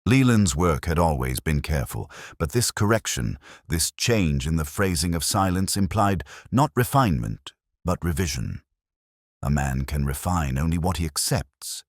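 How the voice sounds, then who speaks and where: A man narrating a literary detective story in the first person, in a measured, reflective way. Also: a bass voice, animated, dry recording, clean studio sound. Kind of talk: scripted literary mystery narration.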